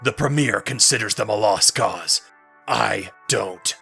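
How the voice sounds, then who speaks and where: An actor playing a gruff older heroic commander in an audio drama, forceful and blunt, with a rough, gravelly voice. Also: male voice, on the low side, measured pace, heavily acted. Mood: resolute, rousing. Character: gruff, defiant heroic older military commander, part synthetic. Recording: music under the voice.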